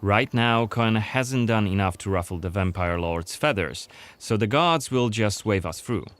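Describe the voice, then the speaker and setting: A man's voice: lowish voice, expressive, moderate pace, fairly bright tone. A man presenting a game demo in a scripted narration style. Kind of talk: scripted gameplay showcase narration. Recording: music under the voice. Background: background music.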